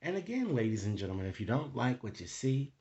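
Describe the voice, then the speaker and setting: A man reads tarot cards aloud for his viewers in a mid-pitched voice, caught in a slightly dull, lo-fi recording. He improvises as he goes, halting between phrases and tacking on little questions to check that listeners follow. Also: sing-song, a little mellow. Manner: halting delivery with frequent tag questions. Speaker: tarot card reader, online host.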